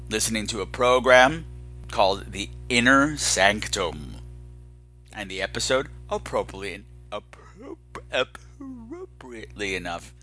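A man's voice: medium pitch, bright, forceful tone. A man hosting a podcast in a candid, unscripted monologue, dramatic and emphatic, sounding weary and exasperated. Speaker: podcast host.

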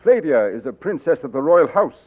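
An actor in a 1940s radio drama playing a stern, loyal army colonel who speaks in a grave, urgent, clipped way. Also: male voice, a little high, big pitch swings, fairly quick. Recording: tinny old radio broadcast.

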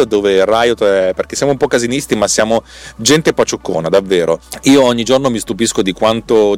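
A man hosting a solo podcast, explaining technical things casually in a rambling, colloquial way and repeating words for emphasis. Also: fairly low pitch. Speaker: podcast host and software developer.

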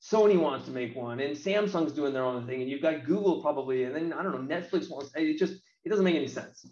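A man's voice: a little high, animated, fast talker, darkish tone. A man in his late thirties with an American accent, chatting casually and bluntly as an interview guest. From United States. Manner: blunt, colloquial. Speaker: interview guest, games marketing professional. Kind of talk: casual opinionated chat. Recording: roomy echo, slightly dull sound.

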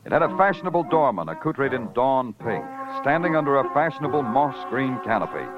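An American man playing a wry hard-boiled New York police detective in a 1950s radio crime drama, deadpan and terse. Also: medium pitch, close to an everyday voice. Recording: muffled old radio recording with music.

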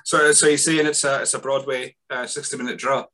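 A chatty Scottish man from the northeast hosts a casual podcast, his voice bright and forward. He laughs a lot and trips over his words, restarting sentences as he goes. His pitch sits in an ordinary middle range for a man. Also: little pitch movement, a little fast. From Scotland. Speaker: podcast host. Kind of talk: casual chat.

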